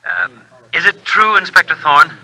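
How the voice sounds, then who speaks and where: An actor playing a nervous, hesitant business manager on the telephone in a 1950s radio crime drama, speaking haltingly through a phone filter. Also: male voice, fairly high pitch, wildly swooping pitch, bright, forceful tone, exaggerated voice, low-quality recording. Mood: uneasy. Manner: halting, uneasy.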